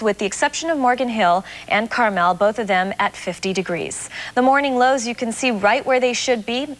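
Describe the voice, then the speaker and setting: A woman's voice: a little high, a bit bright. A woman weather anchor giving a live television forecast in an informal, explanatory way. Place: television studio.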